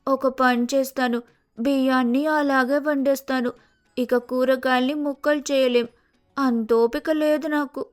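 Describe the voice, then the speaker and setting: A woman's voice: high voice, fairly even intonation, measured pace, faint music behind. A woman voicing a lazy, sulky young sparrow daughter-in-law in a cartoon, with whiny, careless complaining. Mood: lazy, grumbling.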